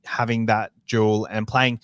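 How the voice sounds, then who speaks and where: A man with a New Zealand accent co-hosting a casual sports podcast, speaking in an easygoing, halting way with fillers and restarts. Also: on the low side, little pitch movement, fairly bright tone, no room sound. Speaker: podcast co-host.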